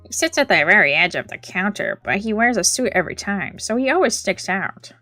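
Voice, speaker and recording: A bright, sharp-edged young man from a visual novel as a woman reads him, precise, polite and sure of himself. The voice is close and dry, with music underneath. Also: mid-range voice, very animated, a little fast, no room sound. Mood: smug. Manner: precise, polite, self-assured. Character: smug, precise young male bomb enthusiast and informant. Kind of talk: reading visual novel dialogue aloud.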